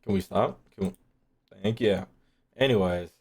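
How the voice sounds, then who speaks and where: A man's voice: lowish voice, moderate pace, darkish tone, breathy edge. A man thinking aloud as a gaming streamer, casual and slangy, swearing freely, repeating phrases and trailing off mid-thought.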